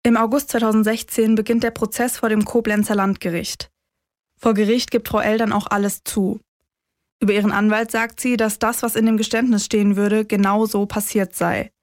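A woman's voice: mid-range voice, flat delivery, moderate pace, no room sound, clean studio sound. A woman, hosting and narrating a true-crime podcast from a script. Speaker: podcast host.

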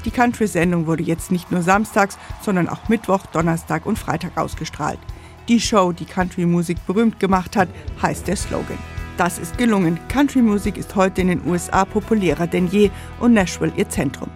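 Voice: mid-range voice, normal speed, soft background music. A narrator reading a scripted radio history feature.

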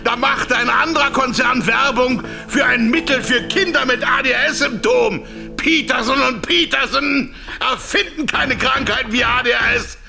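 Desperate exec ranting in a radio drama, super high strained gravelly yelling, music under it. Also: male voice, full character voice. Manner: shouting, ranting, shrill and strained. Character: frantic, defiant pharmaceutical executive man at gunpoint. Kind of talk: radio play acting. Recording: music playing behind the voice.

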